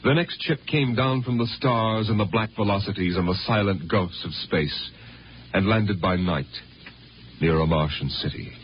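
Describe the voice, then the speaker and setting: An actor playing a solemn, portentous storyteller, narrating a 1950s radio drama in a measured, dramatic way. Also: male voice, fairly low pitch, slight background noise, close to an everyday voice. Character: solemn, portentous male storyteller of space tales. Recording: dull old radio recording, loud music.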